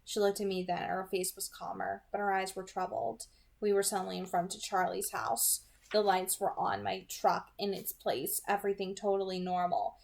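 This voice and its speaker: A woman's voice: medium pitch, level tone, moderate pace, creaky, darkish tone. A woman narrating a novel aloud as an amateur audiobook reader.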